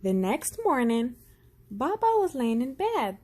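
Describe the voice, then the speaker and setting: A woman narrates a children's picture book. Her voice sits fairly high and moves with lively expression. She takes her time over the phrases, reading slowly and deliberately. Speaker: storyteller.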